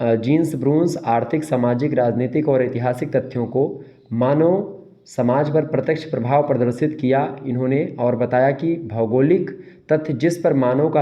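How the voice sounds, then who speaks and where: A man with a sore throat giving an exam-prep lecture, repeating key terms and answering his own questions. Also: mid-range voice. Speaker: teacher. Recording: dull lo-fi sound.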